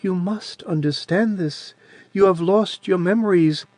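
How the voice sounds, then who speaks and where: A man's voice: high-pitched, a little slow, no room sound, pitched above the performer's own voice. A man doing Adiran, a sweet-voiced ancient crone. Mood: eerie calm. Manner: soft and quiet. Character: ancient tiny crone of a coven, sweet childish voice. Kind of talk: audiobook character voice.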